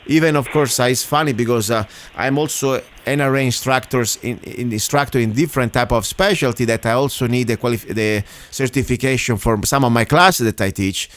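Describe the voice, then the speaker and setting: A man's voice: medium pitch, a little fast, hardly any pauses, a bit bright. Man, radio host, rambling, indignant. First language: Italian. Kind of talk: casual radio talk.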